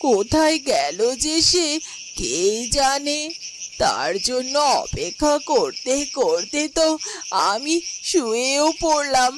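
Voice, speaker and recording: Crickets chirp clearly behind a woman acting as a worried cartoon village wife. Her high voice moves slowly, plaintive and brooding. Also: background noise. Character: worried village wife waiting for husband at night. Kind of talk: Bengali fairy-tale cartoon monologue.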